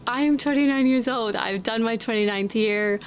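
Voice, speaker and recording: A woman in her late twenties, talking slowly and thoughtfully in a casual vlog with frequent ums, outdoors in the wind. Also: fairly high pitch. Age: late 20s. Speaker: vlogger. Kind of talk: reflective casual vlog talk. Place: outdoors, windy.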